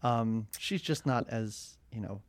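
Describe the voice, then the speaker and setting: A man's voice: lowish voice, takes it slowly, dry recording. Chatty nasal man guesting on a podcast. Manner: self-deprecating, chatty, laughs at his own jokes. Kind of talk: casual podcast chat.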